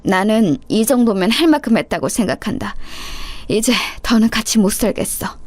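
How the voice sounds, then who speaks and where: A woman voicing a long-suffering old mother who speaks gravely and sorrowfully. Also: on the high side, animated, normal speed, darkish tone, no room sound.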